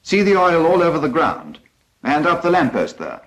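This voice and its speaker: A man's voice: a little high, huge pitch swings, normal speed, fairly bright tone. A British man narrating a 1940s instructional film from a script in a formal, precise, instructive manner.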